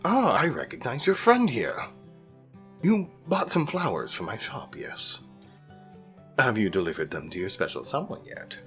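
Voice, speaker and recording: A man playing a kindly middle-aged monster father in an audio roleplay, courtly, gentle and old-fashioned. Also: medium pitch, sing-song, measured pace, faint music behind. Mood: cheery, polite. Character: genial, kindly middle-aged monster dad, flower shop owner.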